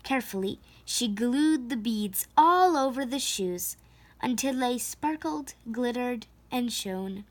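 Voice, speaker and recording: Female storyteller, fairly high voice, very unhurried, emphatic children's read-aloud. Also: expressive. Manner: slow, deliberate, with emphatic phrasing. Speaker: storyteller reading a picture book aloud.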